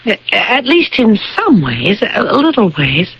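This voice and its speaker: Radio drama: young woman, husky, gentle but persistent, hesitant delivery, dull broadcast sound. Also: mid-range voice, big pitch swings, slow, heavily acted. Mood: earnest, pleading. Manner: hesitant, earnest, pleading, with stammered starts. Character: earnest young woman, gentle, persistent future daughter-in-law. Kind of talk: 1980s radio drama acting.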